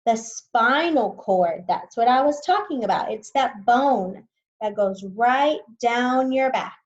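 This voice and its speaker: Brief: a patient woman teacher who reads slowly and stops to explain words, over a muffled home mic. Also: fairly high pitch, lively intonation. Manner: slow, patient, explains words as she goes. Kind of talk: reading a science book aloud to students.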